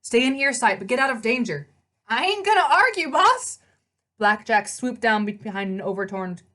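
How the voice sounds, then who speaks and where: A woman reading a children's novel aloud as narrator, in scripted storybook narration. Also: lowish voice.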